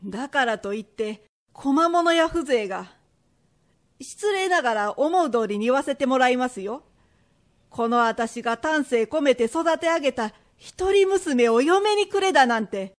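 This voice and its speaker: A woman playing an indignant, protective merchant's wife with sharp old-fashioned townswoman speech in a radio drama. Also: sits high, expressive, measured pace. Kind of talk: period radio drama acting.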